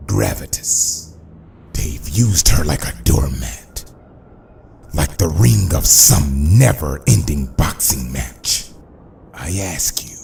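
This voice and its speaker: Casting: suave comic-book mob boss, extremely low and gravelly, grandiloquent, unhurried menace. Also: male voice, a little mellow, a little hiss or hum, exaggerated voice. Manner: pompous, deliberate, grandiloquent. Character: suave, menacing middle-aged male crime boss, theatrically eloquent. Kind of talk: motion comic voice acting.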